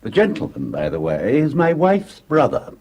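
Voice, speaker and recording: A man's voice: medium pitch, huge pitch swings, normal speed, darkish tone. An actor playing Basil, a scheming husband who defends his plans to his sister in a radio drama. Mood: sulky. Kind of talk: 1970s radio drama acting.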